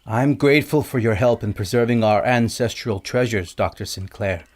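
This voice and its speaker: A man's voice: fairly low pitch, normal speed, darkish tone, natural voice. An actor playing a courteous island king in a pulp adventure audio drama, stately and formal. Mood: gracious.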